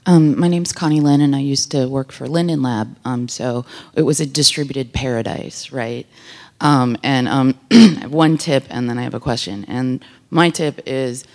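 A woman with a very low voice asks the panel a question from the audience. She talks loudly and chattily, with a joking edge. She is on a handheld mic that cuts out. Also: moderate pace. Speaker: audience member asking a question. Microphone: handheld mic that cut out.

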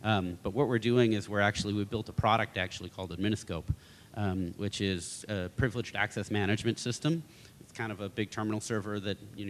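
A man with a lowish voice answers from a conference panel, casual and full of fillers and restarts. His words ring out in a long hall reverb. A faint rumble sits underneath. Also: fairly bright tone. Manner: conversational, many fillers and restarts.

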